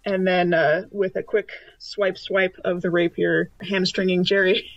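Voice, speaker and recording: A woman's voice: lowish voice, level tone, a little slow, no echo. A woman chatting casually as a tabletop roleplaying player, hesitant and thinking aloud, on a dull recording. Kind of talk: casual in-game description and table chat.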